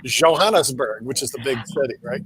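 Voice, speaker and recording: A man's voice: medium pitch, expressive, a bit bright, a little hiss or hum. A man hosting a casual panel chat, who often stumbles and repeats words as he talks. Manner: halting, repeats words, chuckles. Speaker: panel host.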